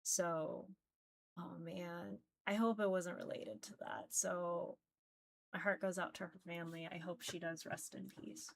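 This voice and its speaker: A woman's voice: fairly low pitch, unhurried, gravelly, slight background noise. A woman chatting casually to viewers as a livestreamer, informal and rambling, with a giggly manner. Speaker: visual novel streamer.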